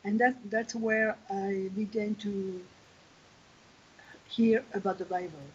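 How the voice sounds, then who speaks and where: A woman in her sixties, raised in Algeria and France, answering questions as an interview guest, pausing often to find her words. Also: mid-range voice, fairly even intonation, a little hiss or hum. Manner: thoughtful, pauses to search for words. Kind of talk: video call interview. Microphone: video call. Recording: lo-fi video call audio.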